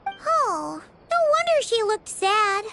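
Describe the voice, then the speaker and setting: Tiny game fairy sidekick. Very high, squeaky, childlike, swooping delivery at an unhurried pace, music behind. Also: female voice, sing-song, gravelly, bright, forceful tone, exaggerated voice. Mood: curious. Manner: exclamatory, refers to self in third person. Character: tiny excitable floating fairy companion, childlike and chatty. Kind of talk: English video game voice acting.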